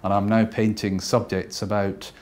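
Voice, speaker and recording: A man from Scotland who is a painter, talking thoughtfully and unhurriedly about his work, with pauses and fillers. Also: on the low side, flat delivery, darkish tone.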